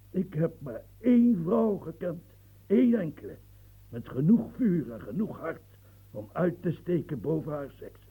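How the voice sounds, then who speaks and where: A gravelly old marshal. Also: male voice, mid-range voice, full character voice, poor audio. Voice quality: gravelly, dark and husky. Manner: grand declaiming, stammers when moved. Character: aging royal fortress engineer, proud, brooding. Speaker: military engineer marshal. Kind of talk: radio drama acting.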